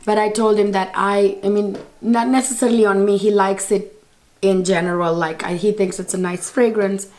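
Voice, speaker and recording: Hoarse woman chatting through a lost voice. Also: medium pitch, fairly even intonation, moderate pace, darkish tone, poor audio. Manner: chatty, rambling, with restarts and "you guys". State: hoarse, lost voice from a sore throat. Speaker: beauty and fragrance vlogger. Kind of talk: casual review to camera. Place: indoor studio set with textured wall.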